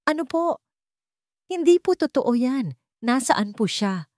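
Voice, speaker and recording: A woman's voice: high voice, expressive, slow pace, darkish tone, dry recording, studio quality, pitched above the performer's own voice. A woman playing a distraught, pleading young woman. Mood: anxious disbelief. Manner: emotional, pleading. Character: tender, distraught young woman grieving her lover.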